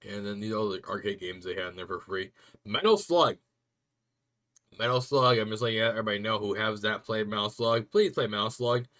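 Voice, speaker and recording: A young man rambling casually to viewers on a stream, chatty and quick to laugh. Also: fairly low pitch, a bit bright.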